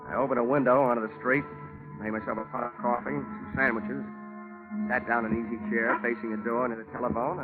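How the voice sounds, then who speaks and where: A man's voice: medium pitch, lightly acted. A man acting a wry, cool-headed American insurance investigator who narrates a hard-boiled 1950s radio detective drama. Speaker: lead actor and narrator. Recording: tinny old radio broadcast with music.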